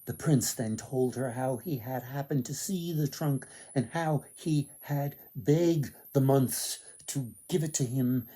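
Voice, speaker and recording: Man reading a folktale out loud, slow and theatrical, soft mellow breathy voice. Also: fairly high pitch. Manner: theatrical, deliberate phrasing with emphatic repetition. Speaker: author, storyteller. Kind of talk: dramatic folktale storytelling.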